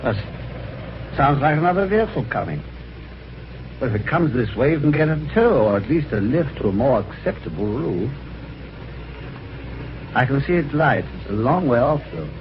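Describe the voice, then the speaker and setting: An actor playing a genial, chatty British husband with wry gentlemanly phrasing, in vintage radio drama on an old recording. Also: male voice, mid-range voice, very animated, moderate pace, pauses often, lo-fi sound, a little hiss or hum. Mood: exclaiming, effortful. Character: genial, chatty, helpful husband telling his adventure. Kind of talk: 1950s radio drama acting and first-person narration.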